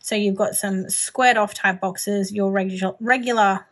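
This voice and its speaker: A woman's voice: medium pitch, fairly even intonation, unhurried. Chatty, gushing Australian woman. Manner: gushing, informal. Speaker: planner haul vlogger. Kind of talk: casual chatty product show-and-tell.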